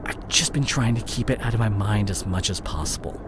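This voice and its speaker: Casting: male actor as fallen CEO and unfaithful boyfriend for audio drama; lowish voice, very changeable intonation, anguished and halting. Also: expressive, natural voice. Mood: guilty, pleading. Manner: halting, emotional, repeats and breaks off words. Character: disgraced CEO boyfriend, anguished, guilt-ridden, confessing his cheating. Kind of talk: dramatic audio roleplay monologue addressed to the listener. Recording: clear vehicle noise behind the voice. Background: car ambience.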